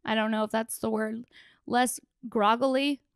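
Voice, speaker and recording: Young female comedy podcaster, blunt and jokey, exhausted hoarse voice with heavy fry, close dry mic. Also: on the high side, steady tone, measured pace, no echo. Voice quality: hoarse, gravelly. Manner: blunt, sweary, joking. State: hoarse, worn-out voice after a festival. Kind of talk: casual solo podcast riffing. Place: small plain room indoors. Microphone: handheld microphone held close.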